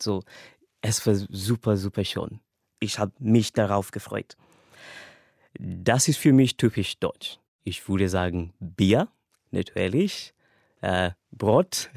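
A man's voice: on the low side, vocal fry, a little mellow, no echo, studio quality. A young man from Kenya, a language student whose first languages are English and Swahili, giving a casual, earnest personal account and laughing lightly between thoughts.

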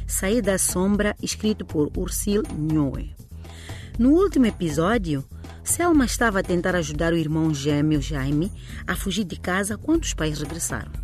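A woman presenting a scripted recap of an audio drama episode. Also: fairly low pitch. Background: music.

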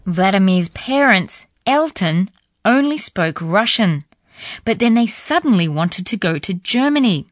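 A woman narrates a language lesson in a measured, explanatory tone. Her voice sits in a mid range and is recorded dry and close, with no room sound. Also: medium pitch, very animated, dry recording. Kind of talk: scripted narration.

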